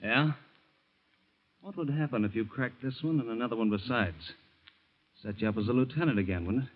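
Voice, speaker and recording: An actor playing a tough, cunning young American convict in a radio crime drama, talking in hard-boiled slang. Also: male voice, mid-range voice, fairly quick, narrow, tinny audio, close to an everyday voice. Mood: calculating. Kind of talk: old-time radio crime drama acting.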